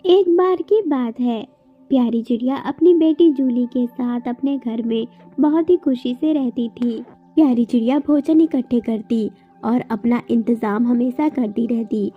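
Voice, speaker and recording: A woman's voice: a little high, unhurried, slightly rough, phone-quality sound, soft background music. A woman giving scripted narration for a children's cartoon story.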